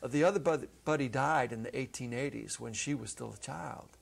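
A man's voice: mid-range voice, fairly quick, vocal fry, slightly rough. Middle-aged man reading a story expressively. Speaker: narrator, dramatic reader. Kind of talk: dramatic reading of a literary short story. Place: indoor studio set. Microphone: lapel.

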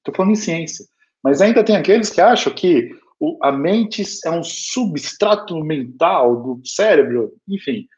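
A man's voice: fairly high pitch, expressive, unhurried. Brazilian man lecturing, dull lo-fi sound. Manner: explanatory, conversational. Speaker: spiritist lecturer. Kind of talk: informal spoken lecture. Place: small home room with bookshelves. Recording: dull lo-fi video call audio.

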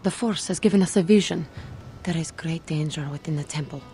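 A woman's voice: low voice, a little fast, dark tone, slight background noise. An actress playing a grave female Jedi in a video game, solemn and earnest. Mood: grave concern. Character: grave Jedi companion warning of danger. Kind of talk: video game cutscene acting.

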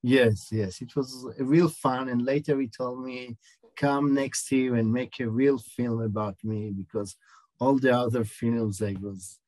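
A middle-aged man with an Israeli accent, a film director answering questions over a video call, halting and searching for words. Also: medium pitch, steady tone, a little mellow, no room sound, rough recording. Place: small room indoors.

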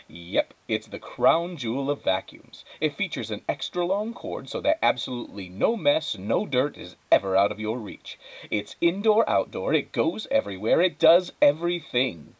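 A man's voice: medium pitch, sing-song, measured pace, no room sound, low-quality recording. A man voicing a proud, eager husband boasting like a salesman in a children's storybook. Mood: boastful. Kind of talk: children's storybook read-aloud.

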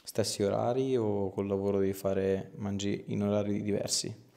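Low, creaky-voiced man giving treatment directions. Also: flat delivery, moderate pace, warm tone. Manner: casual, reassuring, short directions. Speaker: chiropractor. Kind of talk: calm hands-on instructions and questions to a patient. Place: small clinic treatment room.

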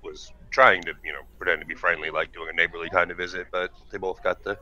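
Low, bright-edged game master on voice chat. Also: male voice, little pitch movement. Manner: loose, halting recap with many fillers. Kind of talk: casual tabletop game session over voice chat. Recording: dull lo-fi voice chat with faint hiss.

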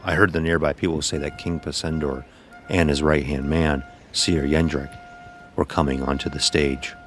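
Very deep-voiced man narrating a story. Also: flat delivery, normal speed, a bit bright, soft background music. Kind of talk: first-person scripted story narration.

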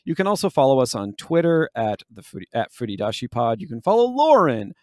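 A man's voice: mid-range voice, sing-song, fairly quick, darkish tone. A man hosting a podcast in a casual analytical way, mixing academic wording with "like" and "you know". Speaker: podcast host.

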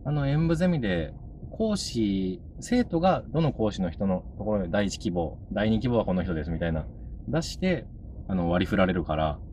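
A man's voice: on the low side, very animated, normal speed. A man in his thirties answering as an interview guest in casual, polite, slightly hesitant speech. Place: inside a moving car. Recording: dull sound, loud car road noise.

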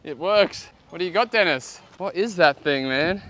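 A man in his thirties with an Australian accent, chatting casually and excitably as he hosts an outdoor vlog. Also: high-pitched, normal speed, a crowd in the background. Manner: excitable, exclaiming. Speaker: host of a magnet fishing vlog. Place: outdoors beside a canal. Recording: outdoor noise, traffic and ambience.

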